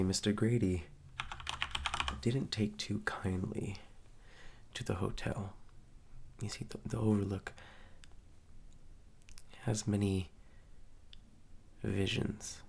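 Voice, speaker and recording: Casting: male actor as softly ominous, courteous hotel receptionist, ASMR roleplay; very slow delivery, gravelly fry. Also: heavily acted. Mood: quietly ominous. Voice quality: soft-spoken, low, gravelly. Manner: slow, measured, hushed, politely eerie.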